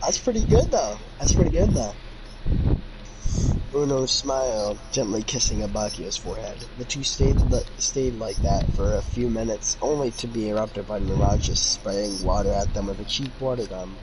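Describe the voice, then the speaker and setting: A man's voice: on the low side, moderate pace. A man reading a story aloud and chatting about it in casual slang, sometimes stumbling over the words, on an amateur recording. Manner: informal, youthful slang, stumbling over the text. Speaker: co-host reading fan fiction aloud. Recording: noisy amateur home recording.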